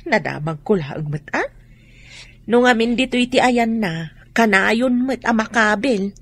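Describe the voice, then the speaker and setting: A woman playing a nosy, sharp-tongued older mother with a husky voice and a Filipino accent in a radio drama. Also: on the high side, animated, measured pace. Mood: prying. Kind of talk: Ilocano radio drama acting.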